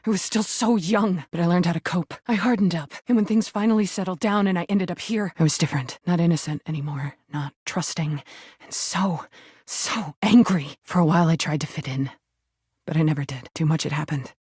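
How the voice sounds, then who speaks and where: A woman's voice: lowish voice, brisk, a little mellow, husky, no room sound, clean studio sound. A woman voicing an angry, wounded female rubber duck in a video game, blunt and defensive but easily made vulnerable. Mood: wounded, bitter. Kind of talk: video game character acting.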